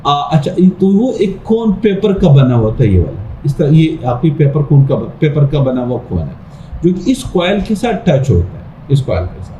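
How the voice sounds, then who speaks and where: A man's voice: on the high side, very animated, moderate pace, warm tone. A man teaching physics informally, explaining step by step and repeating key phrases as he goes. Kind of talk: informal explanatory lesson talking through a diagram. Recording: echoey room with faint background noise.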